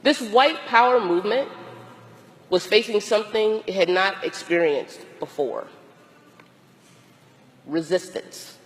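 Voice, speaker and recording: A hoarse, tired woman priest with a cold gives a slow, deliberate sermon with long pauses. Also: medium pitch, in a large hall. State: hoarse, losing her voice from a cold; exhausted. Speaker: Episcopal priest. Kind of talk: reflective sermon.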